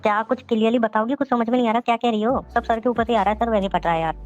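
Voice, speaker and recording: A man acting a flustered, hungover young boyfriend in a phone-call drama, questioning repetitively, his voice pitched up and sped up. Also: steady tone, racing. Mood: confused. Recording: muffled lo-fi call audio. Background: music.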